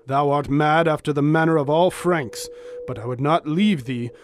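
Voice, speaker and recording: Loyal, fearful desert sidekick played by a male actor for audio drama; fairly high voice, solemn archaic delivery, noisy ambience behind. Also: moderate pace, lightly acted. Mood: wary. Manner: solemn, archaic, ominous phrasing.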